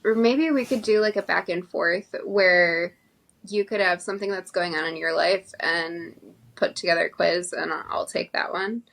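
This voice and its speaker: A woman co-hosting a casual chat show, friendly and relaxed. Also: on the low side, moderate pace, gravelly, rough recording. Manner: relaxed, friendly, laughs often. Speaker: podcast co-host.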